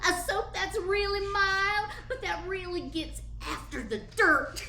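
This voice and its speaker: A woman's voice: very high voice, sharp tone, reverberant, a little hiss or hum, full character voice. A woman playing an eager, giggly 1940s housewife in a radio advertisement, gushing as she speaks. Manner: gushing, drawn-out delivery.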